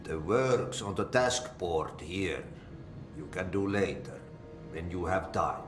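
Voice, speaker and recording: An actor with a Finnish accent playing an eccentric, cheerful janitor who talks slowly in a sing-song way and mangles idioms. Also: male voice, deep voice, echoey room, over music. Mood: reassuring. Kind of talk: video game cutscene acting.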